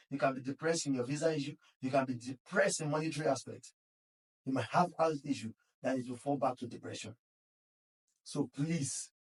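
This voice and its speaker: A man's voice: mid-range voice, distant mic, low-quality recording. A Nigerian man talks in an earnest, exhorting way, with a mid-range voice. He sounds a bit set back from the microphone, as a podcast founder being interviewed. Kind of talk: casual interview.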